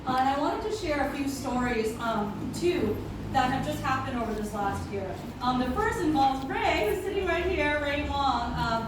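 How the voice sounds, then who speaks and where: A middle-aged woman from Hong Kong speaks earnestly at an event, pausing on ums and slipping into Cantonese. Her voice sits a little high and carries across a very echoey hall. Steady room noise runs under her. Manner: earnest, frequent ums, switches between English and Cantonese. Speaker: deputy city attorney giving a speech. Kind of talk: public speech at an event. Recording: echoey room, voice from a distance, clear background noise.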